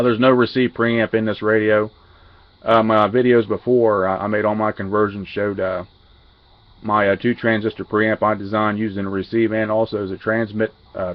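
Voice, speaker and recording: A man giving an unscripted technical walkthrough as a radio hobbyist, halting and pausing mid-sentence. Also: fairly low pitch. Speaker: amateur radio hobbyist demonstrating equipment. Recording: dull lo-fi audio, faint radio hiss.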